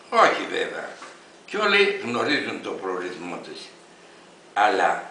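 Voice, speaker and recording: A man in his eighties from Greece reading an open letter aloud in an emphatic, declamatory way, with deliberate phrasing. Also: medium pitch, bright, forceful tone, roomy sound, a little hiss or hum, rough recording. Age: 80s.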